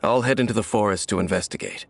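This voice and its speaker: Low, gravelly, gentle traveler. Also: male voice, quick pace. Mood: gentle concern. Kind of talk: video game voice acting.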